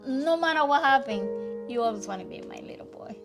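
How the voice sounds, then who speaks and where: Worried mother, halting English. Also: sits high, expressive, music in the background. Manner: worried, pleading.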